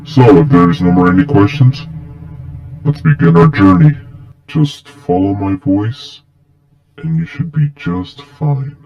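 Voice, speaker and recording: A man voicing a grave, menacing cyborg Sith mentor in an amateur fan film, lecturing slowly and solemnly with a gravelly voice. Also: medium pitch, animated, highs cut off. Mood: grave guiding. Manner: slow, solemn, instructive. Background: music.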